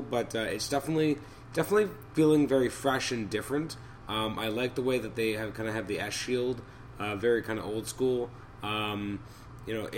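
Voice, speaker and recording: A man hosting a podcast of off-the-cuff reviews, his voice a little hoarse and raspy from a cold, thinking aloud in a halting way. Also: mid-range voice, a little hiss or hum. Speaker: podcast host. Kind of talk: unscripted comic book review commentary.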